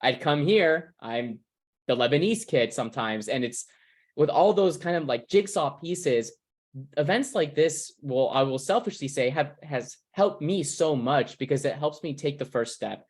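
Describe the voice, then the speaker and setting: A man's voice: on the high side, animated, a little slow. A young man from California, earnestly concluding a presentation on a video call, reflective, with restarts.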